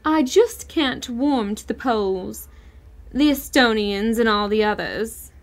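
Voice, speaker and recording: An actress playing a snobbish, complaining middle-aged wife in an audio story, disdainful and imperious. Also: female voice, fairly high pitch, slow pace.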